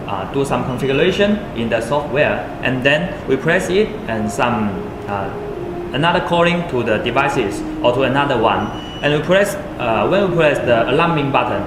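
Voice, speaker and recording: Echoey, halting non-native male product presenter. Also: mid-range voice. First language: not English. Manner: halting, searching for words, ungrammatical non-native English. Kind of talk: unscripted product demonstration. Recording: echoey room with clear background noise.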